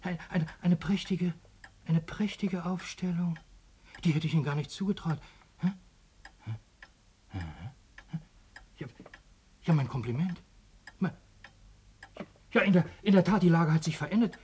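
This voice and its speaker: A man's voice: fairly high pitch, a little slow, pauses often, a little mellow, a little hiss or hum, natural voice. An actor playing an eccentric, distracted old male shopkeeper in a radio drama, stammering, muttering and grunting irritably. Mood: flustered, muttering.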